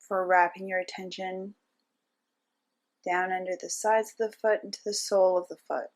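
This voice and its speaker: A woman narrating a guided meditation as a teacher, giving gentle step-by-step instructions with frequent pauses. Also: lowish voice, flat delivery.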